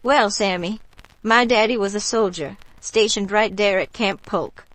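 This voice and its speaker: A woman playing a warm local bayou woman in a radio drama, telling stories in an unhurried, folksy, gently mystical way. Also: mid-range voice, no echo, close to an everyday voice, rough recording. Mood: fond, reminiscing.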